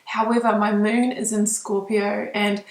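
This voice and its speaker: Woman, mixed New Zealand accent, tarot reader, soft and reflective, frequent ums, home studio, roomy echo. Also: mid-range voice, flat delivery, measured pace. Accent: mixed New Zealand, with British and Australian traces. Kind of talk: intuitive tarot reading to camera. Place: small home studio in a spare room.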